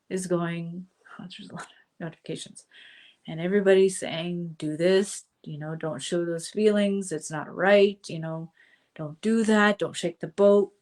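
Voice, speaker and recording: A woman coaching viewers in a casual live stream, chatty, with many fillers, and slightly hoarse from a cold. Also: lowish voice, a little mellow. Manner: chatty, with frequent fillers and asides.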